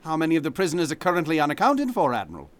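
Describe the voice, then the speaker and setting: A man's voice: a little high, huge pitch swings, rapid-fire. A man playing a formal, courteous radio news anchor in an old-time broadcast drama, reading bulletins and interviewing. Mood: formal, probing.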